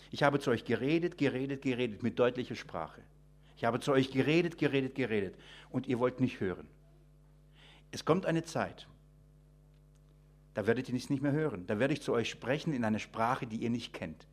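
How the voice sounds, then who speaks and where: A man preaching an extemporaneous sermon, emphatic and full of rhetorical questions and repetition, often restarting his sentences. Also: mid-range voice.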